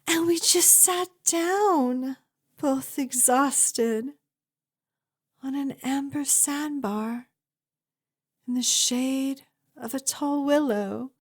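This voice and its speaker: Fantasy huntress, played by a woman: high voice, slow delivery, tender and earnest, intimate ASMR roleplay. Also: heavily acted. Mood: wistful, fond. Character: fierce but tender young huntress reunited with her lost friend.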